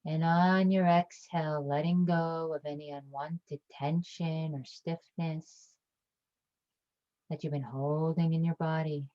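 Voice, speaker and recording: Slow, low-voiced woman guiding meditation. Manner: calm, gentle, unhurried with long pauses. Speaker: guided meditation teacher. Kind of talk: guided meditation, slow soothing instruction. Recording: dull lo-fi recording.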